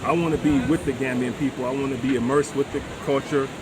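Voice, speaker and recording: A man's voice: medium pitch, steady tone, quick pace. Middle-aged American male interview guest, mid-range voice, earnest and conversational, recorded outdoors with heavy background noise. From United States. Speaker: vlogger and retired military veteran, interview guest. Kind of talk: casual interview talk. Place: open-air beachside deck. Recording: loud wind and ambient outdoor noise.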